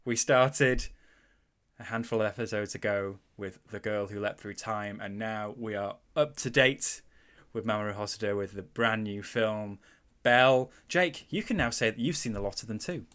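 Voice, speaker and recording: A man with a Mancunian accent leads a casual film podcast, his voice sitting low. He sounds relaxed and keen, laughing easily as he talks into a headset. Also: moderate pace. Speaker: podcast host.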